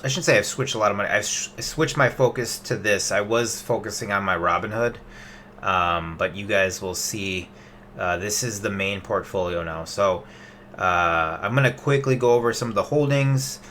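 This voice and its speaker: Chatty young man, bright forceful tone. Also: on the low side, moderate pace, a little hiss or hum. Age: 20s. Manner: loose and chatty, many fillers and restarts. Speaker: investing vlogger. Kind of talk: casual rambling webcam update. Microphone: webcam.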